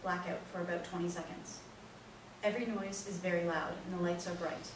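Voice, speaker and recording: A woman's voice: lowish voice, little pitch movement, fairly quick. A woman reading stage directions as scripted narration for a play reading. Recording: echoey room, distant mic, noisy.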